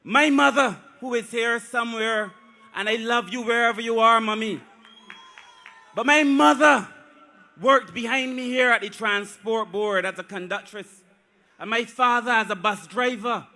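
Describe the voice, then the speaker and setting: A man's voice: extremely high pitch, measured pace, sharp tone. A man with a Barbadian accent, a politician giving an impassioned, declamatory speech at a rally.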